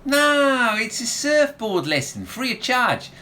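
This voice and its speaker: Ator fazendo um jovem surfista galanteador, entonação muito variada. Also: male voice, extremely high pitch, very animated, slow pace, bright, forceful tone, slight background noise, exaggerated voice. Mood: flirty. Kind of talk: audio comedy radio play acting.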